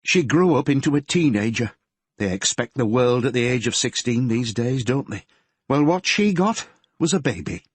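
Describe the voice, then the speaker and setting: A man voicing a grieving middle-aged mother with a Yorkshire accent, who talks in a gentle, fretful and homely way. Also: mid-range voice, sing-song, normal speed, slightly rough, no echo, voice pushed up. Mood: sorrowful. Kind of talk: audiobook character voice.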